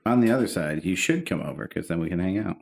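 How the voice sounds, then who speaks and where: A man with a fairly low voice trades loose jokes on a two-man podcast. He reads out listener mail and needles his co-host, keeping it casual. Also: expressive, fast talker, creaky, a little mellow. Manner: rhyming roast intro, then loose joking chat.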